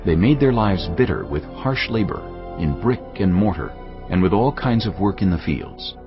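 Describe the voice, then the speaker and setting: A deep-voiced man reads scripture in a measured, solemn delivery. His very low voice moves widely in pitch. Soft music plays under a slightly dull recording. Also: lively intonation. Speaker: narrator. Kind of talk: scripted Bible narration.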